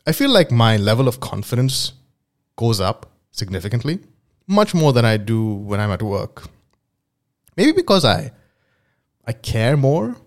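A young man from Dubai muses his way through an imagined scenario. His mid-pitched voice wanders from thought to thought in an easygoing podcast monologue. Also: a little mellow. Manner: meandering, speculative storytelling. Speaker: podcast host.

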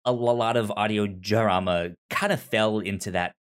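A man with a stutter chatting casually as a review podcast co-host, in a halting, informal way. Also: on the low side, clear, resonant tone. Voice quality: stutter, repeating sounds and words.

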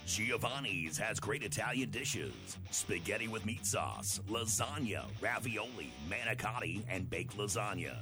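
A man with a lowish voice speaks over clear guitar music. He is an announcer giving a scripted restaurant ad read. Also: expressive, measured pace, few pauses. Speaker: radio commercial announcer.

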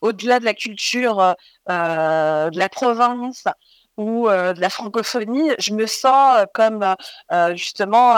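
Francophone woman filmmaker in a radio interview, halting and reflective, stacked fillers, mid pitch, dry close mic. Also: clean tone, no room sound. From living in Toronto, Ontario. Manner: hesitant, many fillers and repeated words. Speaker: film director.

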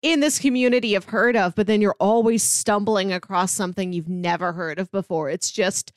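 A woman's voice: medium pitch, brisk, dry recording, studio quality. A woman co-hosting a podcast in casual, conversational interview chat. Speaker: podcast co-host.

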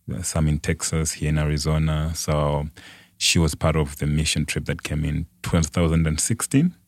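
A man's voice: very deep voice, fairly even intonation, normal speed, dry recording, studio quality. A young man from Malawi with a Malawian accent, telling his own story conversationally as an interview guest. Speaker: podcast guest.

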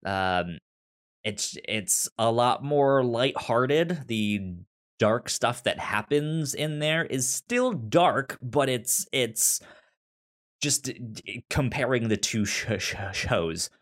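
Slow-talking man with a pronounced stutter. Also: medium pitch, expressive, a bit bright. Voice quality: pronounced stutter, repeating sounds and syllables. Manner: halting, informal delivery. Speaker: podcast co-host. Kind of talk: casual chat recommending and discussing TV shows.

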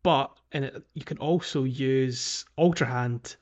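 A man hosting a casual video game discussion, speaking in a hesitant, halting way. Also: mid-range voice. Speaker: podcast host.

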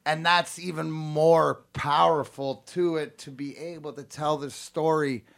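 A man's voice: fairly high pitch, steady tone, a little slow, creaky, fairly bright tone. A man interviewing a guest in casual chat, rambling with many restarts and casual swearing.

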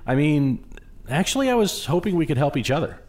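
An actor playing an eager, awkward historian in an audio drama, polite and hesitant. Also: male voice, fairly high pitch, sing-song, fairly quick, a little mellow. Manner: hesitant, apologetic.

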